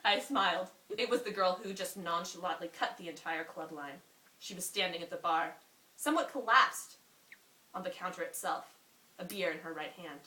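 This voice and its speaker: A young woman reading a story aloud to a friend in an amused, expressive way, often breaking off for asides. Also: lowish voice, normal speed. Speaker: reader. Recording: roomy, distant mic with faint noise.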